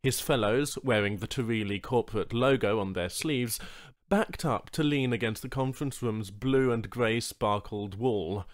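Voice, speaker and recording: A man's voice: medium pitch, moderate pace. A man narrating a scripted short story as an audiobook reader.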